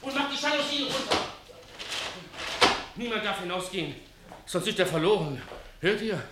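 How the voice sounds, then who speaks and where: Agitated, high-voiced café boss. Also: male voice, sing-song, normal speed. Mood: urgent, anxious. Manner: barking orders, agitated. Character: bossy, anxious male café boss barricading his doors. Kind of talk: radio play acting. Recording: echoing room with clear background noise.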